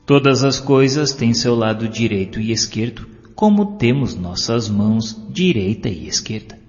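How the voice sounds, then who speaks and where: A man reading a scripted devotional narration and poetry in a solemn, declamatory manner with drawn-out phrases. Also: medium pitch, animated. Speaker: audiobook narrator. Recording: dull lo-fi audio with long hall reverb. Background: clear music.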